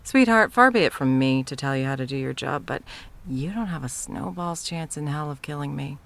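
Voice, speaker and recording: Woman acting a haughty, low-voiced sorceress tyrant. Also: animated, normal speed, gravelly, a bit bright, heavily acted. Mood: haughty, taunting. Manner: theatrical, mocking, condescending. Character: haughty, sadistic undying sorceress tyrant, toying with challengers. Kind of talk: scripted ASMR fantasy roleplay.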